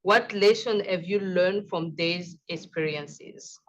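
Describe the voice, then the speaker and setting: A woman moderating a panel, asking questions slowly and carefully, with some non-native grammar. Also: fairly low pitch, slight background noise. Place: conference side event. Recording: dull lo-fi audio.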